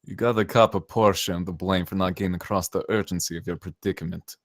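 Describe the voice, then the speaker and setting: A man's voice: deep voice, fairly even intonation, fast, no room sound, studio quality. A man at a screenplay table read playing a brash, cynical saboteur who talks in emphatic, profane slang. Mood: sly, frank. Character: cynical, brash zealot saboteur posing as safety inspector.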